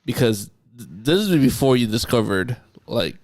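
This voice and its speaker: A man's voice: mid-range voice, sing-song, normal speed, no echo. A young man interviewing a friend in a casual chat, a bit congested, playful and prone to restarts, speaking close to a handheld mic. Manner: playful, teasing, stumbling restarts. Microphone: handheld mic held close.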